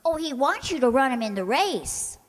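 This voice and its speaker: A woman's voice: high voice, big pitch swings, moderate pace. A woman playing a sweet, naive, scatterbrained young secretary in an old-time radio comedy, guileless and earnest. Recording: reverberant hall, faint noise.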